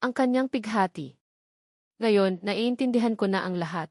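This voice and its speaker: A woman's voice: medium pitch, moderate pace, a little mellow, no room sound, clean studio sound. A woman reading a scripted first-person romance drama as its narrator.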